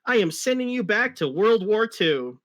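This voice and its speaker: A man's voice: high voice, big pitch swings, normal speed, fairly bright tone, pitched above the performer's own voice. A man voicing an eager, bossy young cartoon fox sidekick, explaining things earnestly and with exasperation in an amateur dramatic reading. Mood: excited.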